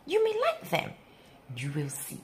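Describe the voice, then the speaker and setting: A woman reading a rhyming picture book aloud for young children in an expressive, theatrical, sing-song way with emphatic pauses. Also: high-pitched, a little hiss or hum. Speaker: children's story reader.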